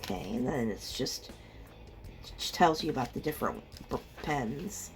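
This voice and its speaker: A woman with a slightly rough, under-the-weather voice, giving a chatty, casual unboxing commentary as host of an art channel. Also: extremely low pitch, animated, unhurried, over music. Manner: chatty, reacts aloud with small exclamations. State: rough voice from being ill.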